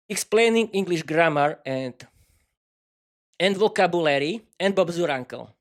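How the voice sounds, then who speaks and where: Man, slovak accent, non-native english, unscripted solo podcast, halting, many restarts, fairly high voice. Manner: halting, frequent restarts and fillers. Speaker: podcast host.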